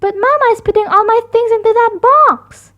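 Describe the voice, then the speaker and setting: A woman voicing an excitable, indignant little girl bear cub in a storybook. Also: very high voice, moderate pace, dull audio, voice pushed up. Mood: protesting.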